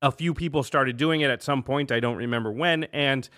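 A man's voice: medium pitch, a little fast, fairly bright tone, no echo, clean studio sound. A man hosting a podcast in casual, conversational talk. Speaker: podcast host.

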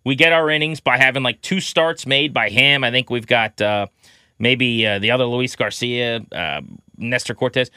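A man's voice: mid-range voice, normal speed, sharp tone, clear, resonant tone, no echo, clean studio sound. A man co-hosting a sports podcast, chatting casually about baseball in an opinionated, conversational way.

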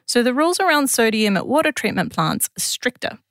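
A woman's voice: a little high, big pitch swings, brisk, background noise. A woman with an Australian accent presents a scripted science explainer in a conversational, lightly wry way.

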